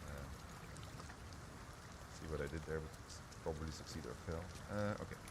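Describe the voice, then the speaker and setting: A man's voice: very deep voice, moderate pace, heavy background noise. A man giving casual live commentary on a game demo, informally thinking aloud as he plays. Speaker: game developer presenting a gameplay demo. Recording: loud game music behind the voice. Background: game music and sound effects.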